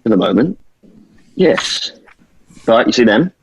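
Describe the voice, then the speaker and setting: A man's voice: medium pitch, big pitch swings, unhurried, breathy edge, low-quality recording. A man acting in a table read as a seasoned boy leader who shows a newcomer around and explains the dangers. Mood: explanatory.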